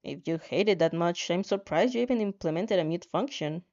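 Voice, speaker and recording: Woman as a mellow, earnest story protagonist. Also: on the low side, expressive, brisk, highs cut off, voice pushed down. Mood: wry. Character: friendly, earnest story protagonist addressed as you.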